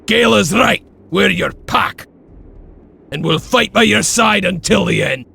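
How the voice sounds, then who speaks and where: A male actor playing a rough, excitable, loyal wolf-clan warrior in a video game, with a raspy, theatrical voice. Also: high voice, a little slow, full character voice. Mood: fierce, loyal. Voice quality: raspy, gravelly. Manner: boisterous, theatrical. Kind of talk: dramatic video game acting.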